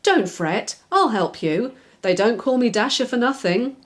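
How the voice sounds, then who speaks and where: A woman's voice: a little high, expressive, unhurried. A woman voicing a friendly, boastful male squirrel in a children's storybook, breezy and self-assured.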